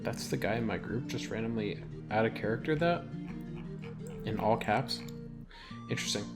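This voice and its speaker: A man's voice: fairly low pitch, moderate pace, over loud music. A man giving casual, rambling gameplay commentary as a video host, thinking aloud as he plays.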